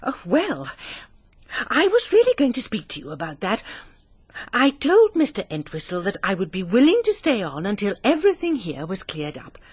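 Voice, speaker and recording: A woman's voice: medium pitch, huge pitch swings, normal speed, darkish tone, slightly rough, no echo. An actress playing a timid, genteel lady's companion in a British radio mystery, with an English accent and a fussy, deferential manner. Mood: anxious, deferential.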